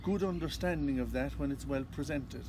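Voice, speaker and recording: A man with an Irish accent, a psychotherapist answering interview questions in a calm, measured way. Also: medium pitch, background noise. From Ireland. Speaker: psychotherapist and constellations facilitator.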